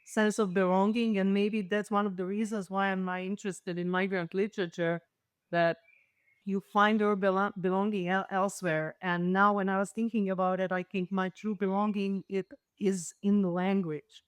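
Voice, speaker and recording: A woman's voice: mid-range voice, level tone, normal speed, clean tone, no echo. A woman with a Balkan accent, a native Serbo-Croatian speaker, talking as a thoughtful, hesitant researcher in a panel discussion. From former Yugoslavia. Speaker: panel guest, literature researcher.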